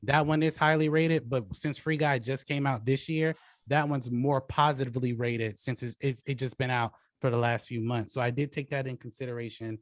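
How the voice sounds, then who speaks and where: A man's voice: medium pitch, steady tone, moderate pace, no echo. A man hosting a casual debate show, talking through his judging of the match. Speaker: host and judge of a debate show.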